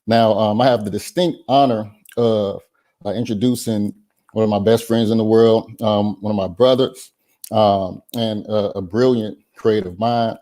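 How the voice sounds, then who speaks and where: A man hosting an online event, giving a casual introduction in a halting way with many pauses. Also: on the low side, fairly even intonation, mellow. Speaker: event host introducing a filmmaker.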